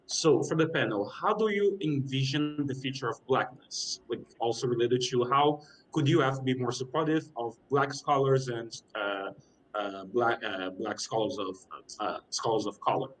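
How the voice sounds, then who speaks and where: A man's voice: medium pitch, normal speed, slight background noise, poor audio. A man moderating a panel discussion, briefly affirming speakers and posing questions.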